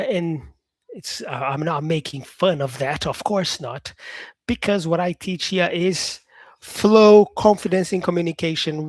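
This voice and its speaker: A man who teaches English, talking casually to his viewers about their comments, upbeat and encouraging, often restarting himself and filling pauses. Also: fairly high pitch, no room sound. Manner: rambling, restarts himself, many fillers.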